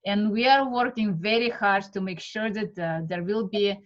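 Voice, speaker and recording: A woman from Siberia with a Russian accent, teaching an online art meditation class, halting and full of fillers. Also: medium pitch, steady tone, highs cut off. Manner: halting, many fillers, warm welcomes. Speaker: art method instructor. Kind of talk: live online class introduction. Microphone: video call.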